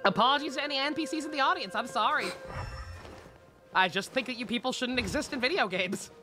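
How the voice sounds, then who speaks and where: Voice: high-pitched, fast talker. A video game streamer giving casual, joking gameplay commentary. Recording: game music behind the voice. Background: video game music.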